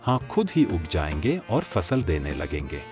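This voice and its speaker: A man playing an easygoing, lazy and doubting village farmer in a moral-story cartoon, with an expressive delivery. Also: extremely low pitch, moderate pace. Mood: doubtful. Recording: music under the voice.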